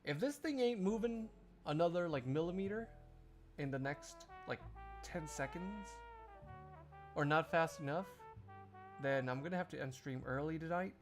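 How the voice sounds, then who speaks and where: A man's voice: on the high side, lively intonation, normal speed, pauses often, faint music behind. A man livestreaming, chatting casually to his viewers in a jokey, rambling, exclamatory way. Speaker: live streamer.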